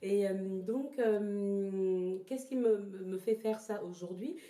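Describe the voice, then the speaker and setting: A woman from Togo who grew up partly in France gives reflective, personal interview answers, searching for her words with pauses and repetitions. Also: mid-range voice, dark tone. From born in Togo, lived in Ghana, moved to France as a child. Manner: hesitant, halting, repeats words as she searches for phrasing. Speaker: interview guest, traditional therapy practitioner. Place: indoors in a house. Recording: roomy, echoing indoor sound.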